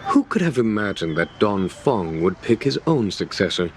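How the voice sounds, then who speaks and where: Deep, calm, shrewd general. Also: male voice, noisy background. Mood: measured, shrewd. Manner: measured, eloquent, formal. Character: calm, genial male general with shrewd, measured wisdom. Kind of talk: video game cutscene acting. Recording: music behind the voice.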